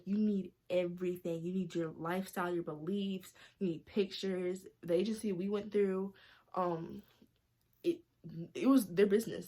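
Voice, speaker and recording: A woman's voice: fairly low pitch, a little mellow. Chatty Southern young woman vlogger. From North Carolina, US. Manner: chatty, many "like"s and "you guys". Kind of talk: casual talk to camera. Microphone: camera mic.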